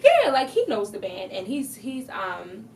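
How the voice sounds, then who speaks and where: A woman's voice: a little high, wildly swooping pitch, measured pace, gravelly, darkish tone. A woman singer being interviewed on a podcast, bubbly and giggly as she talks casually. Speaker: singer in a group. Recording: distant mic with faint noise.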